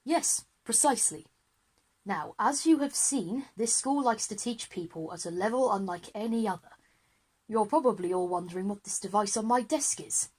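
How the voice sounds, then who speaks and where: A woman's voice: mid-range voice, lively intonation, normal speed, darkish tone, husky. A woman playing an enthusiastic, easily flustered male history teacher in a children's audio drama, with an eager, wordy lecturing manner.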